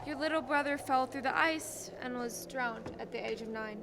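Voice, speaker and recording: Young woman as high-voiced earnest guardian angel. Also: normal speed. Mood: solemn. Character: earnest female guardian angel, gently moralizing. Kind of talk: filmed radio play acting, high school production. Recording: clearly noisy stage recording.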